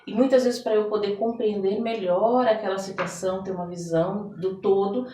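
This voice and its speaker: Casting: middle-aged Brazilian woman, expressive interview guest, mid-pitched, recorded in an echoey room. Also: moderate pace. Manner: expressive storytelling, often seeking agreement with tags. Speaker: interview guest, therapist and theater director. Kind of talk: conversational interview answers. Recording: echoey room, distant microphone.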